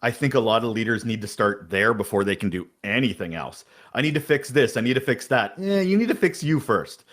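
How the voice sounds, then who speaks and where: A man's voice: medium pitch, brisk, hardly any pauses. A man guesting on a podcast, talking emphatically and energetically in an interview. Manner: energetic, emphatic, talks about having a big voice. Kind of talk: interview answers on leadership.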